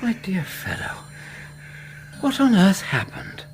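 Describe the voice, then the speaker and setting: An actor in a radio drama playing a polite, bemused British academic, courteous, fussy and old-fashioned. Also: male voice, high-pitched, huge pitch swings, slow, in a whisper, loud music behind the voice, heavily acted, poor audio. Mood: bewildered.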